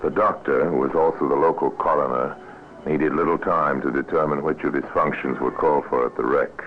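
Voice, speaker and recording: An actor narrating an old-time radio crime drama as a grave, ominous storyteller, on a scratchy, tinny recording. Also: male voice, very deep voice, fairly even intonation, brisk. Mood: grave, measured.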